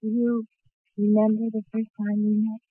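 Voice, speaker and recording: An actress playing an affectionate, encouraging young sweetheart in a 1940s radio comedy. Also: female voice, medium pitch, completely flat delivery, normal speed, slightly rough, no room sound, narrow, tinny audio, lightly acted. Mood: tender.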